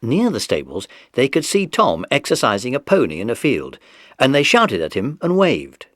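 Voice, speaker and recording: A man's voice: medium pitch, wildly swooping pitch, moderate pace, fairly bright tone, no room sound, clean studio sound. A man narrating a children's mystery story as scripted audiobook narration. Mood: measured.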